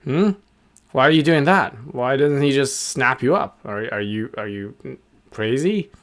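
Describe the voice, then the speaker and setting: A man's voice: mid-range voice, animated, a little slow, fairly bright tone. A man voicing a bossy, skeptical little bird in a children's story, scolding and pushy. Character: bossy, skeptical gang of small male birds, threatening.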